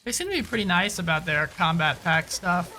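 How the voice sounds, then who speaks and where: A man giving casual live gameplay commentary and chatting informally with viewers, halting and repeating himself at times. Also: sits high, animated, bright, forceful tone, slight background noise. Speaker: game streamer.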